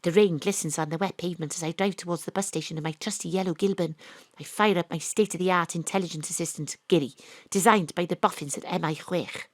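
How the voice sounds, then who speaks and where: Deadpan wry woman narrating noir parody. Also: fairly low pitch, quick pace, dry recording. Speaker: narrator and lead actor, private detective. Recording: dry home studio.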